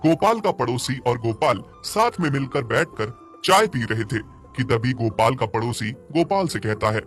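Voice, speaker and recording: A man's voice: mid-range voice, animated, normal speed, faint music behind. A man narrating a scripted moral story as a storyteller.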